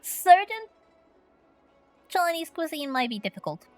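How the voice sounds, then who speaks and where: A woman's voice: very high-pitched, big pitch swings, a little slow, no echo, soft background music. A woman from Finland streaming a story game, chatting playfully and reading questions aloud.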